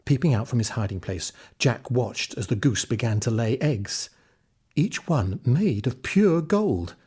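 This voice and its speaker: Husky male children's storyteller. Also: medium pitch, lively intonation, unhurried, a little mellow. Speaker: audiobook narrator. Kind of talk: expressive scripted storytelling for children.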